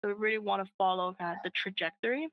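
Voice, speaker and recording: A woman's voice: medium pitch, fast, highs cut off, slight background noise. A woman co-founder answering interview questions in an earnest way, with frequent fillers and restarts. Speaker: app co-founder, guest.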